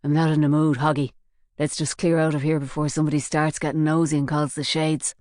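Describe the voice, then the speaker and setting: A woman's voice: low-pitched, level tone, brisk, darkish tone, dry recording, clean studio sound, natural voice. A woman voicing a cocky, menacing male gang leader who mocks his captive. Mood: mocking. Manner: mocking, sneering.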